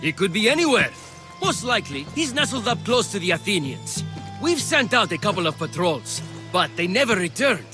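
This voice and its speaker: A man's voice: high-pitched, sing-song, moderate pace, over music. An actor playing an earnest, formal male Spartan soldier handing out orders in a video game. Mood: formal, assured. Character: male Spartan soldier, urgent, grudging quest giver. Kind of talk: dramatic game cutscene acting.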